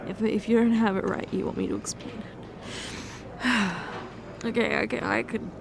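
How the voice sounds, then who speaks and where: A young woman performing an emotional roleplay confession, halting and tearful, with many sighs and restarts. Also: on the high side, steady tone, creaky, crowd noise. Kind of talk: emotional ASMR fiancée roleplay monologue.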